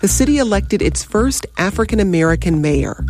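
A narrator reading a scripted documentary narration with lively intonation. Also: mid-range voice, moderate pace.

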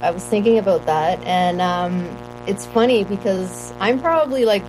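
A woman's voice: mid-range voice, normal speed, low-quality recording. A woman reporter answering interview questions in a chatty, casual way with light laughs and fillers. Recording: music bed underneath.

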